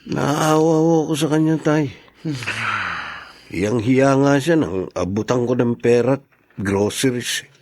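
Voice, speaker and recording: A man's voice: medium pitch, expressive, takes it slowly, pauses often, a little mellow, no echo. A man playing a caring, protective elder brother in a Tagalog radio drama, speaking with earnest concern.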